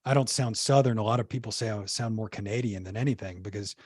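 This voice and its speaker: A man's voice: fairly low pitch, quick pace, a little mellow, breathy edge, no echo. Man musing in a dry, close voice. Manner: rambling, many restarts and fillers. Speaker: podcast guest. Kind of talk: casual conversational storytelling.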